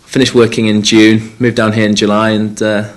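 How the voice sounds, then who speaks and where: A man's voice: lowish voice, little pitch movement, fairly quick. A young man from North Wales, a rugby player, answering press conference questions with lots of fillers and restarts. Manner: hesitant, many fillers and restarts.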